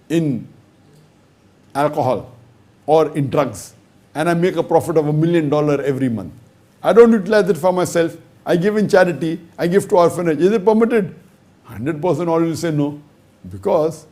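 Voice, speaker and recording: A man's voice: fairly high pitch, warm tone. A man, an Islamic scholar, answering an audience question in a lecture, reasoning step by step with emphatic stresses and rhetorical questions.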